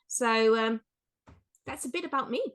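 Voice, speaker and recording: A woman's voice: on the high side, normal speed, a bit bright. A woman from the Netherlands talking as a podcast guest, friendly and a little hesitant, with many fillers. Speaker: guest, author and coach. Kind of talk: casual interview chat.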